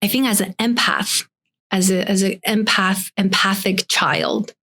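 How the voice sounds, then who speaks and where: Young woman from Taiwan, life-coach guest, mid-pitched, musing and talking through her thoughts on a chatty podcast. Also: measured pace, bright, forceful tone. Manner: reflective, thinks aloud with frequent "like" and restarts. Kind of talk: casual podcast conversation.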